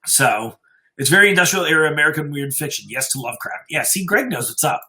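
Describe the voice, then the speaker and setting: A man's voice: on the high side, animated, fast talker, bright, forceful tone. A man hosting a livestream in a casual, informal, chatty way, talking appreciatively with his viewers. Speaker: livestream host and story reader.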